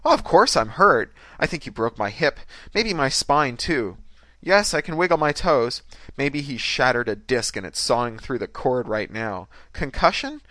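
A man's voice: medium pitch, lively intonation, normal speed, bright, forceful tone, no room sound, pitched above the performer's own voice. A man voicing an angry, litigious young American woman in an audiobook story, brash, scheming and demanding. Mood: breezy, scheming. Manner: strident, demanding.

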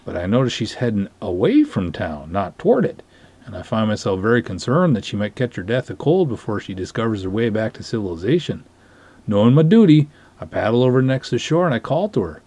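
Male reader as florid, dignified patrol cop telling his tale, husky, animated pitch, storybook drama. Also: no room sound, exaggerated voice. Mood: enchanted. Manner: pompous, florid, deliberate storytelling. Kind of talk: dramatic short-story reading.

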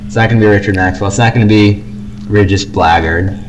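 A man's voice: lowish voice, steady tone, brisk, noisy background. A young man chatting casually as co-host of a fan podcast, on an amateur home recording.

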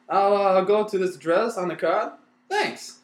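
A man plays an anxious businessman in a 1930s-style radio comedy. His voice sits fairly high, hesitant and stammering, in an echoey room. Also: a little slow. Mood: flustered. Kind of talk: old-time radio comedy acting. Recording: roomy, echoing room sound.

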